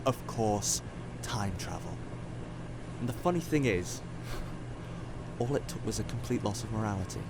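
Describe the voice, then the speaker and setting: A man's voice: medium pitch, background noise, natural voice. A man in an audio drama playing a sardonic, weary, regretful scientist from the future who is centuries old. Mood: bitter, impassioned.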